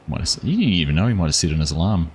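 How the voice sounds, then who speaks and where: Very deep-voiced New Zealand man. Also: rapid-fire, breathy edge. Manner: relaxed, rambling, chats with viewers. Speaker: streamer. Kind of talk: casual gameplay livestream chat.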